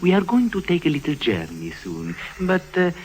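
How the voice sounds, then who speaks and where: A man's voice: high voice, wildly swooping pitch, normal speed, darkish tone, heavily acted, rough recording. An actor playing a sly, mockingly polite foreign henchman in a 1950s radio drama, with an oily courtesy. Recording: hissy old 1950s radio recording.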